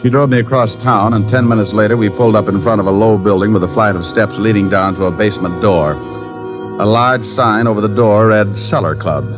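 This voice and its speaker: Through a dull vintage radio recording with music comes a man acting a wisecracking private eye. His low voice moves with lively, teasing swings, glib and sure of itself, in classic forties detective-show style. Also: a little fast, close to an everyday voice. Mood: narrating. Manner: glib, teasing, smooth-talking. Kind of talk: 1940s radio detective acting with first-person narration.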